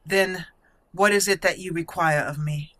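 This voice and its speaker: A woman voicing a guarded young female mage recruit in a fantasy game roleplay, speaking formally and deliberately. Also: on the low side, fairly even intonation, fairly bright tone. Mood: formal, polite.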